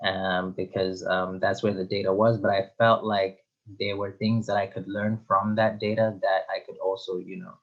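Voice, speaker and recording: A man's voice: deep voice, level tone, moderate pace, lo-fi sound. A young man from Zimbabwe, a guest author, answering interview questions thoughtfully with frequent ums and uhs. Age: young adult.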